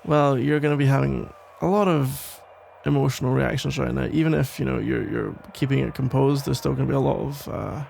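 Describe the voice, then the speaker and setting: A man playing a protective, tender police officer ex-boyfriend in an intimate ASMR roleplay, speaking in halting, reassuring phrases with a gravelly voice. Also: mid-range voice, a little mellow, slight background noise. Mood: reassuring, careful. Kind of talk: intimate ASMR roleplay, soft conversational acting.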